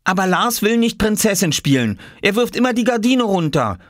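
Man voicing a bossy little boy, pitched high. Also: very animated, a little fast, no echo, clean studio sound. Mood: indignant. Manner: commanding, self-important. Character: bossy, earnest little boy, big brother at play. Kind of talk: children's storybook character voice.

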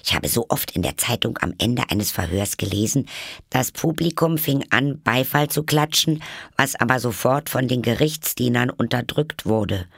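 Young storybook girl done by a man, raised pitch, pert, modest yet cheeky, husky. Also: animated, moderate pace, fairly bright tone, dry recording, clean studio sound, heavily acted. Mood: thoughtful.

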